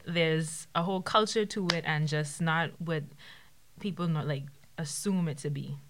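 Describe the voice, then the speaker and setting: A woman's voice: low voice, moderate pace, noisy background. A young woman with a Bahamian accent hosting a student podcast, chatting casually in a friendly way with some hesitant restarts. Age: young adult. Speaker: podcast host. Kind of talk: casual chat.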